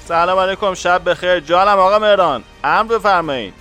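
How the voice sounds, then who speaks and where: Male security chief played by an actor, audio drama: obliging, formal, smooth and notably high-pitched. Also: sharp tone, soft background music. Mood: courteous.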